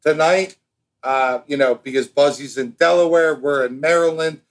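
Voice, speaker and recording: An American man co-hosting a podcast in casual, bantering talk, with an animated, showy and teasing manner. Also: fairly high pitch, normal speed. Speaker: podcast co-host.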